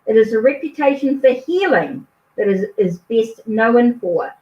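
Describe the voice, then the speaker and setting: Tarot reader, a woman with a New Zealand accent, higher voice, reassuring, recorded far from the mic in an echoey room. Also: expressive, a little slow, dark tone. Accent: New Zealand English. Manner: reassuring, ends phrases with a questioning "okay?". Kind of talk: tarot card reading for a viewer. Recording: echoey, distant, dull lo-fi sound.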